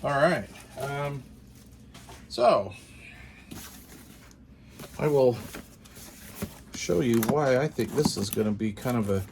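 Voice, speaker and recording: A man's voice: mid-range voice, lively intonation, slow, long pauses, a little mellow, slight background noise. A man hosting a casual product unboxing, talking informally and musing aloud in short phrases. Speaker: host of a radio gear channel.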